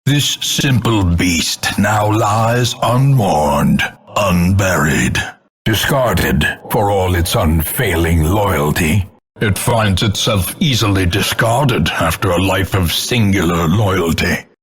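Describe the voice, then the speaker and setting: Ominous game announcer, older, low-pitched, unhurried, grandiose gothic phrasing, contemptuous. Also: male voice. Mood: mournful, grim. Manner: grandiose, ornate, measured dramatic delivery. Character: grim ominous older male narrator of doom, contemptuous. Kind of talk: theatrical scripted game announcements, gothic narration.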